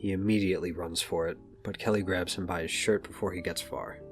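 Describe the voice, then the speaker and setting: A man's voice: low voice, fairly even intonation, brisk, faint music behind. A man narrating an audio drama as a scripted present-tense story.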